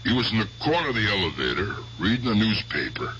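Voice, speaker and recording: An actor playing a tough aging gangster in a radio drama, gruff and evasive, with slangy, blustering speech. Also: male voice, on the low side, big pitch swings, fast, sharp tone, dull audio. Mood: haunted, uneasy. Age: older. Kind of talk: 1970s radio drama acting. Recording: noisy old radio broadcast recording.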